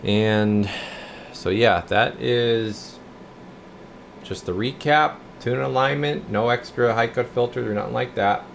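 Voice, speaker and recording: A man's voice: mid-range voice, takes it slowly, creaky, a little hiss or hum. A man giving a casual, folksy hands-on demonstration as a repair technician, sometimes muttering to himself as he works. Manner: informal, folksy, muttering to himself while working. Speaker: repair technician presenting a bench test video. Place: indoor radio repair workshop.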